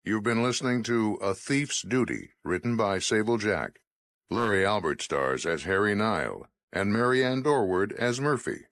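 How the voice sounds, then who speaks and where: A man announcing radio drama credits in a formal, measured manner. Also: on the low side, animated, a bit bright, no echo.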